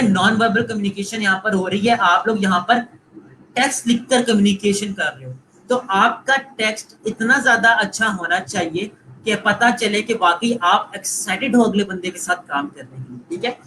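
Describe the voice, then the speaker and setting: A man's voice: sits high, pushed and bright, slight background noise, low-quality recording. A man with a Pakistani Urdu accent giving a live online lecture, coaching students and repeating points while mixing in English phrases. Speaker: online course instructor.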